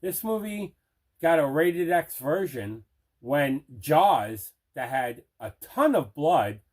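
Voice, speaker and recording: A man's voice: on the high side, big pitch swings, slow. A man hosting a casual unboxing video as a collector, talking in an enthusiastic, conversational way. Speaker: movie collector, video host.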